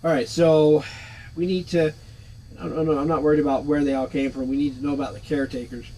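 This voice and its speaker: A man casually commenting as he plays an old computer game, relaxed and thinking aloud. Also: a little high, fast, slight background noise, rough recording. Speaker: gaming channel host. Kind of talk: casual let's-play commentary.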